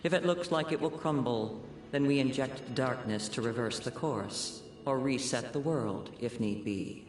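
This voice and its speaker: Guy doing a cold haughty overlord in a game, formal n measured, mid pitch, lots of echo. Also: close to an everyday voice. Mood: cold disdain. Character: cold, haughty male overseer of a utopian civilisation. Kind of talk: video game cutscene acting. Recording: echoey, roomy sound.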